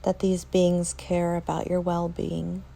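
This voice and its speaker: Female meditation guide: mellow, relaxed, slightly low voice, gentle and soothing delivery with unhurried pauses. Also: vocal fry. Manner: soft, soothing, with long pauses.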